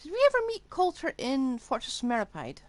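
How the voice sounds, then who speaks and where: A woman's voice: high voice, huge pitch swings, a little fast, no echo. A woman streaming a game, giving casual live commentary and often thinking aloud in questions to herself. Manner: talks to self, asks own questions. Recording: game music behind the voice. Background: game music.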